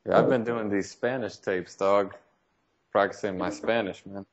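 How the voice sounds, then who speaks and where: A man's voice: on the low side, fairly even intonation, moderate pace, highs cut off. A man co-hosting a podcast, talking in slangy casual chat. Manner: slangy, laughs easily.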